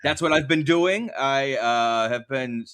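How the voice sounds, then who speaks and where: A man's voice: mid-range voice, takes it slowly, a bit bright, smooth. A man who works as a play-by-play announcer, chatting casually about sports as an interview guest in a wry, jokey way.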